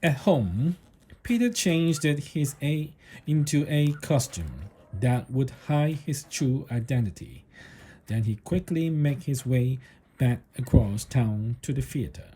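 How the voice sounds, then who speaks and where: A man reading a children's story aloud in a deliberate narrating style, with the odd small stumble over the words. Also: medium pitch, expressive, dark tone, slight background noise, low-quality recording. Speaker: storybook reader.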